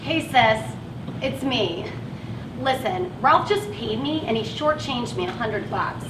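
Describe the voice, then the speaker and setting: A woman's voice: on the high side, lively intonation, slow pace. An actress on stage playing a frustrated working woman who drives a van and feels stuck in her job. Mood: dejected. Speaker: actress in a one-act play. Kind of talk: live stage play acting. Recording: echoey, distant, noisy live stage recording.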